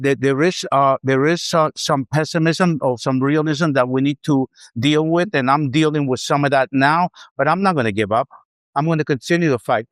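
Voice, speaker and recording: A middle-aged male academic speaks defiantly on a panel and repeats key phrases for weight. His voice sits at an ordinary pitch. The sound is dry and close. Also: no room sound. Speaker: professor, panelist. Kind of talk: round table remarks.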